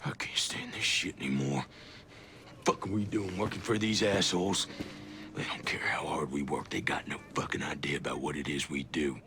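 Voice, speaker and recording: An actor playing a bitter, defiant soldier colonel who swings from hushed contempt to shouting. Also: male voice, rumbling low, normal speed, slight background noise. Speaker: rogue special forces officer. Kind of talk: video game cutscene acting.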